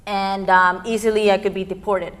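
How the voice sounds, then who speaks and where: A woman's voice: medium pitch, level tone, moderate pace, a bit bright. Earnest young woman activist from Peru. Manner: earnest, many fillers. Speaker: youth immigrant activist guest. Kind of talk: interview answers.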